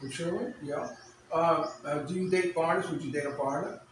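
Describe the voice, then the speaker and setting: A man hosting a casual mock late-night interview, teasing and joking, halting with many fillers, in a room with a fan running. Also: a little high, steady tone, distant mic, a little hiss or hum. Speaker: host. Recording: very echoey room, voice from off the mic.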